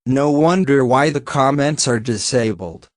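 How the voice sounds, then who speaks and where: A man's voice: medium pitch, animated, unhurried, no echo. Sarcastic Finnish man, dry close voice. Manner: sarcastic, blunt, swears. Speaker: commentator reacting to a video. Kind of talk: mocking reaction commentary.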